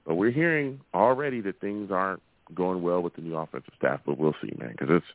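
A man's voice: sits low, fairly quick, dry recording, phone-quality sound. A man hosting a live sports call-in show, talking emphatically and repeating phrases for effect. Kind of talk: animated sports talk with callers.

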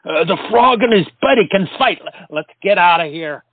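Slow-witted blusterer played by a man, animated swings in pitch, comic stage acting, tinny dry recording. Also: no room sound. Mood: cowed. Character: slow-witted, blustering bully, easily confused and insulted. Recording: muffled, phone-like stage play recording.

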